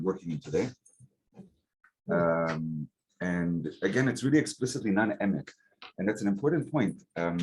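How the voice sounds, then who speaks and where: A man giving an academic lecture to a seminar, relaxed and conversational, with frequent um and uh and rhetorical "right?" checks. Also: sits low, poor audio. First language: English. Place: lecture hall. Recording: faint background noise, clicks.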